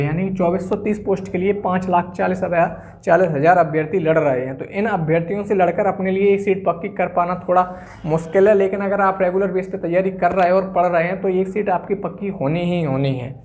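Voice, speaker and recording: A man's voice: high-pitched, steady tone, a little fast. A man giving an informal, instructional exam-coaching talk, emphatically repeating key phrases for stress.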